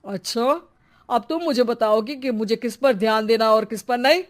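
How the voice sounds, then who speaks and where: A man's voice: very high-pitched, normal speed. A man playing a bullying middle-aged husband and father in a dramatised story, scolding with contempt. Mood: scornful, angry. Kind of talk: dramatised Hindi moral story.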